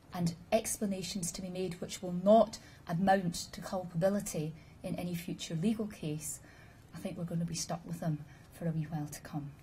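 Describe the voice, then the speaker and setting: A woman giving a measured, explanatory conference presentation and answering questions with dry humor. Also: lowish voice, mellow, rough recording. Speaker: conference speaker. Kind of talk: formal presentation with audience questions.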